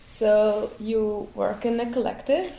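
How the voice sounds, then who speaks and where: Woman interviewer, warm and mellow, measured questions, distant in an echoey room. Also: medium pitch, creaky. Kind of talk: conversational interview.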